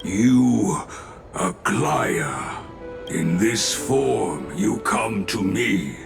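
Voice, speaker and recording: A man's voice: lowish voice, big pitch swings, extremely slow, creaky, full character voice. A man voicing a grave, solemn blacksmith in a fantasy game, speaking tersely in archaic, inverted phrases. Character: grave old-fashioned male blacksmith, slow and solemn. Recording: echoey, music underneath.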